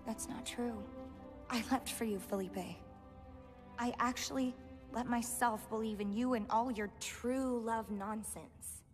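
An actress playing a cynical, sharp-tongued young woman rogue in an animated film, speaking bluntly and sardonically. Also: on the high side, a little slow, close to an everyday voice. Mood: hurt, bitter. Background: faint music.

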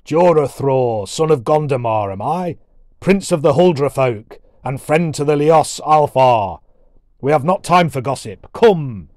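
Booming viking dwarf prince, done by a male narrator, brash and bellowing, old-time grand wording, fantasy audiobook. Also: expressive, a little slow, darkish tone, no echo, studio quality. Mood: gruff, impatient. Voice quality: deep, booming. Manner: archaic, grandly declaimed speech.

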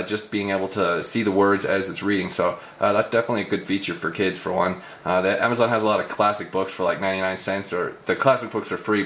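A man's voice: low-pitched, fairly bright tone. A man narrating a casual product demo as a tech reviewer.

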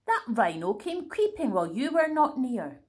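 A woman voicing a calm, firm female cow. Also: on the high side, moderate pace.

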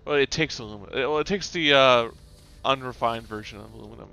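A man's voice: medium pitch, fast talker, vocal fry, pushed and bright, soft background music. A man co-hosting a game recording, chatting in an easygoing way and making dry jokes. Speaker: let's-play co-host. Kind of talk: casual gaming chat.

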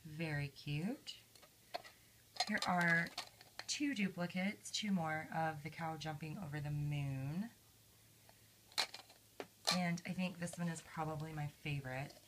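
A hoarse woman casually showing her collection at home, talking gently with many ums. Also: low-pitched, takes it slowly, long pauses, background noise. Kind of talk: casual show-and-tell. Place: indoors at home, camera over a table. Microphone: handheld camera mic, speaker off-camera.

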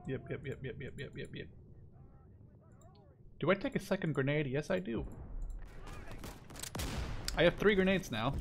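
A man's voice: on the high side, expressive, normal speed, gravelly, pauses often, slight background noise. A man chatting casually with a teammate while playing a video game, rambling, repeating words and swearing freely. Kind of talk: casual gaming chat with a teammate. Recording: loud gunfire and explosions behind voice. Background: video game gunfire and artillery.

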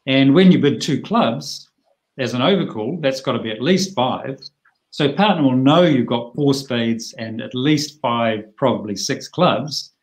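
A middle-aged man explains a card hand over a video call. He talks in a chatty, plain-spoken way, tossing in lively asides. His voice sits at an ordinary pitch. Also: unhurried, low-quality recording. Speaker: bridge teacher.

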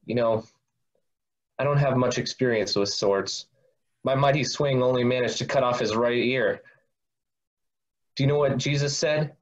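A man playing a remorseful, impulsive disciple in an amateur dramatic reading, speaking in a confessional, sometimes halting way. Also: medium pitch, natural voice. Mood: rueful. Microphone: video call. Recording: muffled video-call audio.

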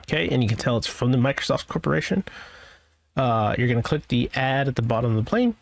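A man's voice: mid-range voice, expressive, quick pace, creaky, no echo. A man presenting an IT tutorial in a casual, informal way, rambling a little as he thinks aloud through each step. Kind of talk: casual screen-share software walkthrough.

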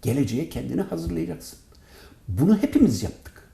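A man speaking as a professor and interview guest, giving formal, emphatic and deliberate answers. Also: fairly high pitch, very animated, creaky, warm tone. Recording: roomy echo.

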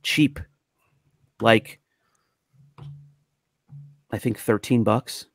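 A man's voice: fairly low pitch, fairly even intonation, extremely slow, creaky, dry recording, soft background music. A man in his thirties showing collectibles in casual chat on a video call, speaking close into a studio mic. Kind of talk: casual show-and-tell chat. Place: small room of collectibles at home, video call. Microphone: close studio mic on arm, headphones.

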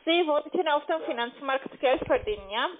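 A woman's voice: high-pitched, animated, moderate pace. A woman call centre agent, not a native German speaker, asking sales questions on the phone. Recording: tinny phone line.

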